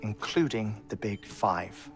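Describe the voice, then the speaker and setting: An actor playing a thoughtful, earnest scientist in a video game cutscene, explaining methodically with rhetorical questions. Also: male voice, on the low side, takes it slowly, vocal fry, loud music behind the voice. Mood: intrigued, lecturing. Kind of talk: cinematic video game dialogue.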